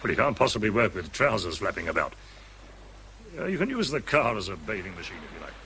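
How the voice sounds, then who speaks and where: Solicitous officer, avuncular, lowish voice, animated intonation, radio drama dialogue, slightly noisy. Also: male voice, quick pace, heavily acted. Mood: kindly, encouraging. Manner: avuncular, encouraging. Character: kindly, solicitous male officer in charge.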